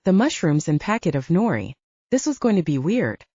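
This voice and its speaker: A woman's voice: fairly low pitch, big pitch swings, moderate pace, warm tone, husky, no room sound, muffled recording. A woman reading scripted first-person audiobook narration.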